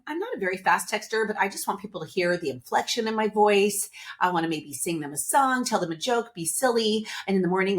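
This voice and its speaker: A woman hosting a podcast, talking to listeners in a casual, upbeat and chatty motivational monologue, seated indoors. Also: mid-range voice. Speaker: podcast host.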